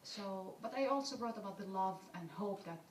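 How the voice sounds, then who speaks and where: A woman whose first language is Arabic, an author speaking on a panel in a halting, reflective way. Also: mid-range voice, lively intonation, recorded from a distance, a little hiss or hum. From Palestine. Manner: halting, reflective, many restarts. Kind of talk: panel discussion. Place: event hall with audience. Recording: roomy hall miked from afar.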